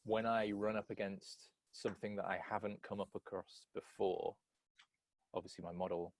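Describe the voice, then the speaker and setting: A man speaking as a coach on a call, putting a reflective question in measured, deliberate, wordy phrases. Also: on the low side, steady tone, dry recording.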